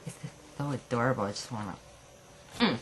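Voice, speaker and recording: A tired amateur improvising puppet show dialogue, halting and prone to swearing, recorded at home with faint noise and clicks. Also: mid-range voice, lively intonation. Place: friend's house late at night. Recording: noisy homemade recording with clicks.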